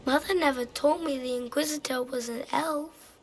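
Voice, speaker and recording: A performer playing a curious, polite, slightly eerie little boy in a video game, with a breathy child's voice. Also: high voice, moderate pace, natural voice. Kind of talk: dramatic video game acting.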